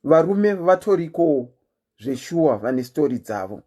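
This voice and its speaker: A woman's voice: sits low, normal speed. A woman with a Zimbabwean accent, hosting her show in a chatty, casual monologue, telling listeners her news with lots of "eh" fillers. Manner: animated, chatty storytelling with frequent "eh" fillers. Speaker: host.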